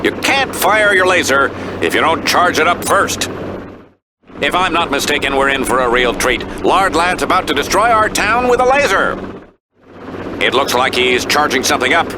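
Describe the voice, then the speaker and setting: An actor playing a pompous middle-aged TV news anchor in a cartoon game, giving sardonic, theatrical mock live commentary on a disaster. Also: male voice, fairly high pitch, expressive, normal speed, noisy background, close to an everyday voice. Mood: dramatic commentary.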